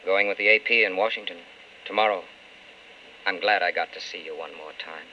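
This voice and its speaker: A muffled, faintly hissy old radio broadcast features a principled, wistful man. His voice is lowish and clear-edged, and he speaks in an earnest, measured, articulate way, like a romantic lead in a vintage drama. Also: bright, forceful tone. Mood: resigned. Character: principled, wistful man in love with a friend's wife.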